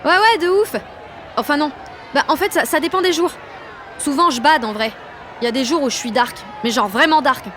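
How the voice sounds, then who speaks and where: A high-pitched, excitable sixteen-year-old girl tells her story in an audio drama. She gushes in slang, swears and mocks her own awkwardness. A clear street crowd and urban noise run behind her. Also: normal speed. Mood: flustered, keen. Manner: gushing, slangy, swearing, self-mocking. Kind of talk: audio drama, slangy first-person inner narration and dialogue.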